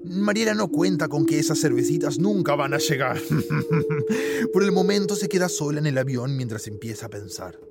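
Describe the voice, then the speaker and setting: A man tells an audio-drama story as its wry narrator. His voice sits a little high, with playful dramatic delivery. Music is loud underneath. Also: sing-song, normal speed, gravelly. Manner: wry, addresses the listener.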